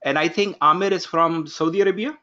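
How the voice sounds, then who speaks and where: A man opening an online course from his home office, giving instructions briskly and calling on participants one by one. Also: a little high, bright, forceful tone, highs cut off. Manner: brisk, instructive, takes roll call. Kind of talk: live online class introduction. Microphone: video call.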